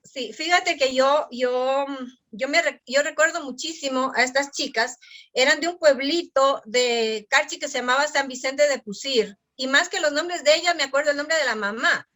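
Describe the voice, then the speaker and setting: A woman from Ecuador with an Ecuadorian Spanish accent, a guest on an interview, who tells stories in a lively way and often says quick yeses. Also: high-pitched. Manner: lively storytelling, frequent quick affirmations. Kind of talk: conversational interview.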